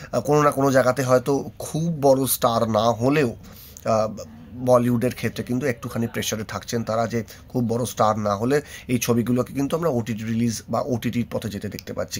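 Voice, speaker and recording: A man talks through his reaction to a new film announcement at a middling pitch. He speaks casually, pausing often with fillers and restarting his sentences. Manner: hesitant, many fillers and restarts.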